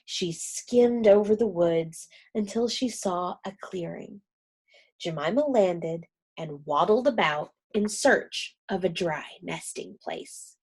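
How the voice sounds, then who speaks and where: Slow, breathy woman reading to kids. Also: lowish voice. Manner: gentle, expressive read-aloud. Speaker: storyteller. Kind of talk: children's story reading.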